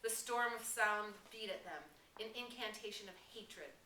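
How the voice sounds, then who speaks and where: A woman reading a novel aloud as dramatic, expressive audiobook narration. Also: mid-range voice, moderate pace. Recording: roomy, distant mic, faint noise.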